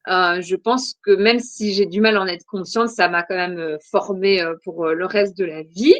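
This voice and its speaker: A woman's voice: lowish voice, steady tone, normal speed, dry recording. A woman in her forties from Belgium, a podcast guest answering questions in a thoughtful, hesitant way with many fillers. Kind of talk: casual interview answers.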